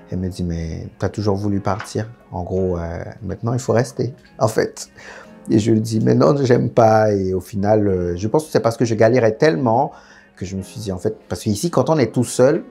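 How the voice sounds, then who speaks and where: A man's voice: lowish voice, normal speed, gravelly, dark tone, husky, faint music behind. A young man from French Guiana, a guest in a casual interview, telling his story frankly with lots of fillers. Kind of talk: candid casual interview chat.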